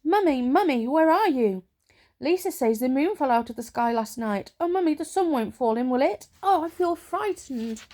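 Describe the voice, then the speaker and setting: A woman's voice: high voice, lively intonation, moderate pace, a little mellow. A woman voicing a silly, gullible little boy in a storybook, wailing and whining in a frightened, babyish way. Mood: frightened surprise.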